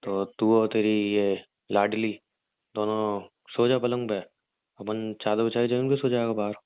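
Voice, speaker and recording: A man playing a rough young gangster husband in an audio drama, crude and teasing yet protective, talking in slang. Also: lowish voice, little pitch movement, unhurried, dry recording. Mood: reassuring. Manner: slangy, crude, mild swearing. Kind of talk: audio roleplay drama, conversational. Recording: narrow phone-like dry sound.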